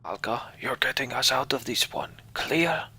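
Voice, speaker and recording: A man's voice: mid-range voice, lively intonation, a little slow, bright, forceful tone, breathy edge, heavily acted. A man voicing a shaken male warrior leader who speaks tensely and urgently.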